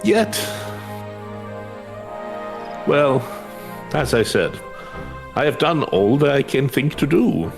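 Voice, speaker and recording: Man playing a courteous, anxious elderly scholar. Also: mid-range voice. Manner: formal, archaic, hesitant wording. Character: elderly male scholar, courteous, earnest, anxious, devoted to research. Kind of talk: fantasy game dialogue read aloud.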